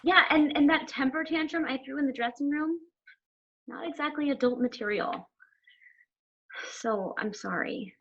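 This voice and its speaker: An actress playing a candid, slightly awkward teenage daughter in a sitcom table read over a video call. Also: female voice, high voice, normal speed, pauses often, a bit bright, dull audio. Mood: apologetic.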